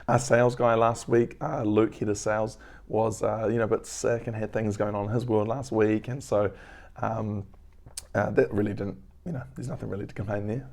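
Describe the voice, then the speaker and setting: Hoarse man in his 30s, crackly and low, mellow tone, earnest and reflective vlogger. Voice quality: crackly voice, frequent throat clearing. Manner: earnest, reflective, rambling with fillers. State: hoarse, lost voice. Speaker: business owner vlogger. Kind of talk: casual weekly vlog update. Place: at home.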